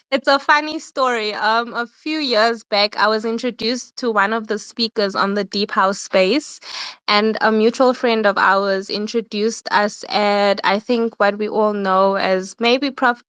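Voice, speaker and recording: A woman's voice: fairly high pitch, a bit bright, clear, resonant tone, no room sound. A young woman, a guest artist chatting thoughtfully about her music in a casual interview. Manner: reflective, talking through her music with fillers and laughs.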